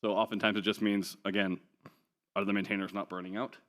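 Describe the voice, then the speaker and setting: A man's voice: fairly low pitch, little pitch movement, fairly quick, fairly bright tone. A man giving a conference talk from slides, chatty and wry, with plenty of ums and offhand jokes. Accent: none New Zealand. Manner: casual, chatty, wry asides with many ums. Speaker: conference speaker. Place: conference hall.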